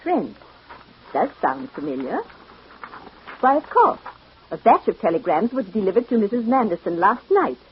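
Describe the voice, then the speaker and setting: An actress playing a talkative, helpful telegraph clerk in an old radio drama. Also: female voice, medium pitch, very animated, moderate pace, long pauses, a little hiss or hum, lightly acted. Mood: eager, chatty. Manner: talkative, obliging. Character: chatty, helpful female telegraph office clerk. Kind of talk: 1940s-50s radio character acting. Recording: dull old radio recording.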